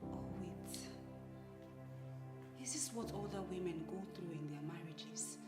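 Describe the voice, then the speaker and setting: A woman's voice: sits high, sing-song, brisk. A woman acting an aggrieved, fed-up wife in a melodramatic film, with a Nigerian accent and emphatic, repetitive questioning. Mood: despairing. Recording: roomy echo, music underneath.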